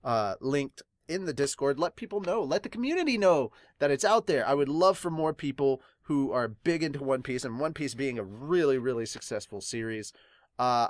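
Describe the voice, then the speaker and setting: A man with a mid-pitched voice hosts a casual manga review podcast. He talks like an enthusiastic fan, informal and exclamatory, moving between reading out comments and reacting to them. The sound is dry, with very little room in it. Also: dry recording. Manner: animated, exclamatory, informal with mild swearing.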